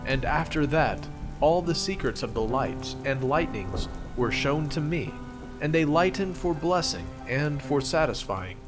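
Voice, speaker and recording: A man playing an ancient prophet who recounts his visions in measured, reverent, archaic narration for a scripture audio drama, with music behind him. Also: on the high side, lively intonation. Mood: solemn, awed.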